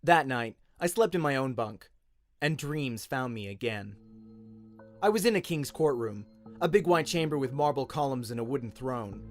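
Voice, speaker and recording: Expressive Australian man narrating, lively pitch. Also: normal speed, soft background music. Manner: expressive storytelling. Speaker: audiobook narrator. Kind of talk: dramatic scripted narration, radio-play audiobook.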